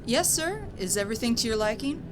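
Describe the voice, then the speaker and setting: A woman's voice: sing-song, a little fast. A woman playing a polite, placating flight attendant in a comedy sketch. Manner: courteous, placating. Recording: clear rumbling vehicle noise. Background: airplane cabin rumble.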